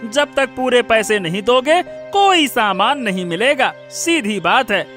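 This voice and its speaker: A man's voice: extremely high pitch, lively intonation, measured pace, pushed and bright, soft background music, exaggerated voice. A man playing a rude, greedy village shopkeeper in a cartoon moral story, curt and scolding. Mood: angry, insistent.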